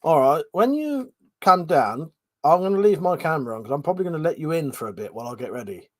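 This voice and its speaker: A man's voice: fairly high pitch, fast, no room sound, clean studio sound. A man in his forties chatting casually on a live stream, reading out comments, swearing and laughing as he talks. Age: 40s. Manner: swearing, laughing, animated banter. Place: home room.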